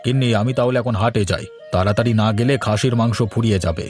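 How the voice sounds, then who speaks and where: A man's voice: mid-range voice, quick pace, a little mellow, close to an everyday voice. A man playing a self-assured, bossy, well-off village husband in a cartoon. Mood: doting, cheerful. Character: doting, self-satisfied, bossy prosperous village cloth trader husband. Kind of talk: Bengali cartoon dialogue. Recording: light music behind the voice.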